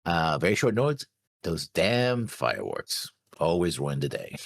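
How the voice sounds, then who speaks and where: A very low-voiced man with a husky edge and lively swings in pitch. He explains things casually in halting, measured phrases, recorded dry. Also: no room sound. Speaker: co-host of an anime reaction show.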